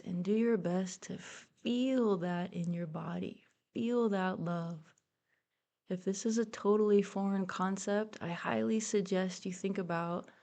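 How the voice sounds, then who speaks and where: A woman leading a guided meditation in a soothing, gentle, unhurried and encouraging way. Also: on the low side, gravelly. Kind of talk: guided meditation, soft instructional narration. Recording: soft music behind the voice. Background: meditation music.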